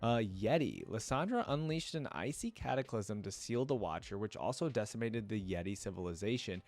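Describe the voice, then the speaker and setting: A man's voice: lowish voice, expressive, normal speed, a little mellow. A man reading lore aloud and commenting on it casually, as the host of a reaction video.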